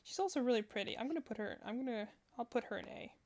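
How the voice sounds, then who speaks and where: A woman's voice: on the high side, animated, very fast, darkish tone. A woman giving casual reaction commentary as a streamer, rambling and thinking aloud as she goes. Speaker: streamer reacting to game character demos.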